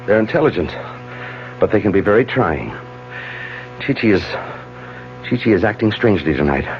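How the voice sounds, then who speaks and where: An actor playing an authoritative, controlled doctor in a 1970s radio mystery, with a commanding, deliberate manner. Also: male voice, on the low side, very animated, slight background noise. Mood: tense, questioning. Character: authoritative, controlled male doctor managing a crisis. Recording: dull 1970s radio broadcast with music.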